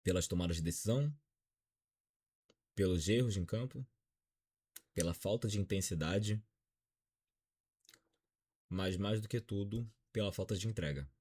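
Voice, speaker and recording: A man's voice: fairly low pitch, measured pace, pauses often, darkish tone, husky. A Brazilian man in his twenties with a Brazilian accent, giving a dejected, frank football opinion vlog. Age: 20s. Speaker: football commentator.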